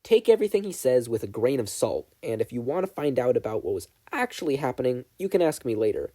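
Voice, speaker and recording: A man voicing an earnest, guarded teenage boy raised as a child soldier, speaking in a measured, matter-of-fact way in a story reading. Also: mid-range voice, big pitch swings, warm tone. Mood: dry, explanatory. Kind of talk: fan fiction audiobook character reading.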